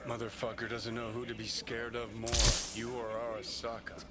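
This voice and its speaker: A scornful, profane rebel rocker played by a man speaks in a mid-pitched voice. He sounds blunt and contemptuous. A steady hiss of noise sits under the game audio. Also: normal speed, close to an everyday voice. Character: sneering rebel rocker in a man's head.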